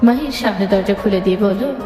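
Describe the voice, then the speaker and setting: A woman reads scripted story narration at a medium pitch. Her voice sits in long reverb, with music clearly playing behind it. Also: brisk.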